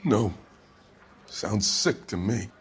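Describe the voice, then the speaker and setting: An actor playing a defensive, hot-tempered husband under police questioning in a crime video game, answering bluntly. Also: male voice, on the low side, animated, slow pace, slightly rough, heavily acted. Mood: sullen. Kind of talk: acted dramatic video game dialogue.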